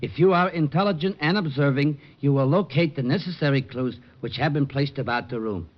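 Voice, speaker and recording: An American man acting a slow-witted, plodding police sergeant in an old radio drama. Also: on the high side, natural voice. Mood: officious. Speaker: actor playing a police sergeant. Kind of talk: 1940s-50s radio detective drama acting. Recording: muffled, noisy old radio recording.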